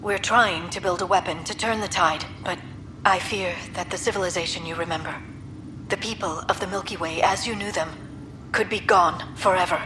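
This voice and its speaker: A woman playing a gentle, sorrowful alien scientist recording an earnest, solemn message in a video game. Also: on the low side, fairly even intonation, normal speed, background noise, close to an everyday voice.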